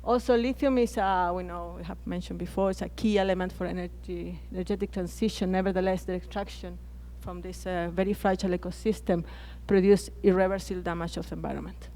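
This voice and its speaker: A woman's voice: on the low side, steady tone, quick pace, few pauses, warm tone. A Chilean woman whose first language is Spanish, speaking on a conference panel with frequent hesitations and restarted words. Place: conference plenary hall.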